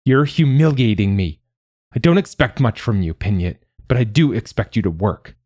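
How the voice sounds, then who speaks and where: A man's voice: lowish voice, animated, normal speed, dry recording, clean studio sound. A man voicing a cruel, scornful mother who berates her child in a harsh, hissing way in an audiobook. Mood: scornful anger. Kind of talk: dramatised audiobook narration.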